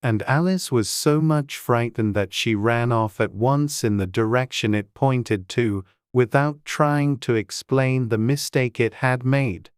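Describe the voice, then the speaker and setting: A man narrating a storybook audiobook in a gentle, measured, good-humoured way. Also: mid-range voice, clean tone, no echo, studio quality.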